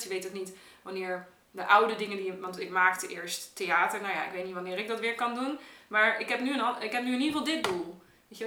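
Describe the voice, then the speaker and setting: Woman, bright forceful voice, reflective casual talk, slightly off-mic with room echo. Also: medium pitch. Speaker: theatre maker in a podcast discussion. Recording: echoey room, distant from the mic.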